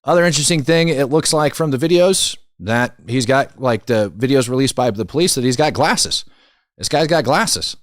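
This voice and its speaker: Male news commentator: medium pitch, dry close recording, casual, incredulous, emphatic delivery. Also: animated, moderate pace, dry recording. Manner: conversational, incredulous, emphatic repetition. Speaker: commentary show host.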